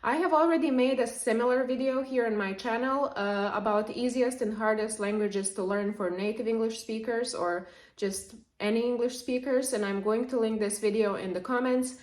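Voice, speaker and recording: A young woman from Serbia, a native Serbian speaker, explaining language learning to camera in a lively, chatty way. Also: a little high, moderate pace. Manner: lively, explanatory, with frequent fillers. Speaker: language vlogger. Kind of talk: casual talk to camera. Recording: echoey room.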